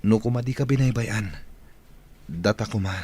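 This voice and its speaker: A man with an Ilocano accent acting an excitable, good-natured young village son in a radio drama. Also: on the low side, moderate pace, husky. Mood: subdued.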